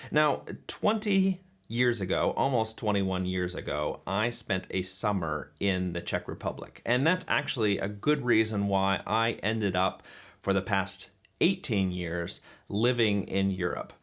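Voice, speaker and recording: A man in his forties from the United States hosting a reflective talk, musing and relaxed, with fillers and self-corrections. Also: on the low side, unhurried. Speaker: host.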